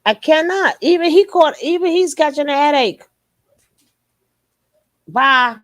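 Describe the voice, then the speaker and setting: Woman host, sassy reactor, high and animated. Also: unhurried. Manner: sassy, laughing asides. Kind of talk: reaction commentary.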